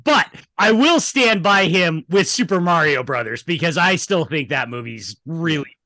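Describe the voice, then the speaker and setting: A man's voice: on the high side, expressive, moderate pace, sharp tone, no echo. A man chatting casually about films as a podcast co-host, blunt and profane. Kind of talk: casual film chat.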